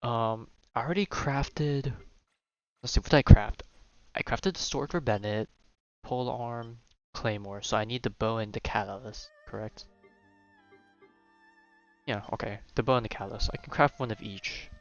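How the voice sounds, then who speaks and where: A man's voice: medium pitch, normal speed, pauses often, highs cut off. A man live streaming a video game, thinking aloud in casual, rambling commentary that often trails off. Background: video game music.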